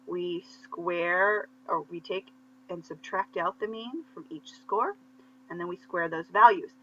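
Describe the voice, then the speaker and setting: A woman's voice: on the low side, a little slow, fairly bright tone. A woman lecturer explaining material step by step in a conversational teaching style, checking in with short questions as she goes. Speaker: statistics lecturer. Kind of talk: conversational instructional explanation of slides. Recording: muffled lo-fi audio with faint hum.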